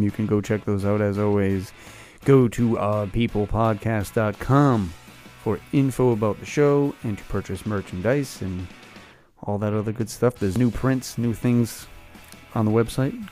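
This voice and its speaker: A man hosting a podcast, raspy while getting over a cold, speaking in a relaxed, upbeat way. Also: on the low side, moderate pace, soft background music. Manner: relaxed, upbeat, loose with fillers. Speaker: podcast host. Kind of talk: casual podcast interview.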